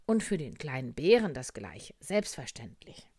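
A woman voicing a brisk, self-assured, formal male frog doctor with emphatic, clipped pronouncements in a children's storybook. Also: a bass voice, big pitch swings, a little mellow, breathy edge, dry recording, studio quality, exaggerated voice. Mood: commanding.

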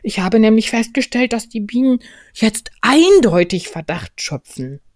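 Casting: woman as a male toy bear for a storybook, high-voiced, naive, ponderous and earnest, close dry sound. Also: very animated, measured pace, a little mellow, dry recording. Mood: earnest, scheming. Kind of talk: storybook character voice.

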